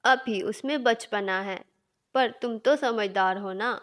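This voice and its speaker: An older mother-in-law in a cartoon family tale, acted by a woman. She speaks slowly in a fairly high voice, reasoning in a gentle, motherly way. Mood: placating. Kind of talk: Hindi cartoon story voice acting.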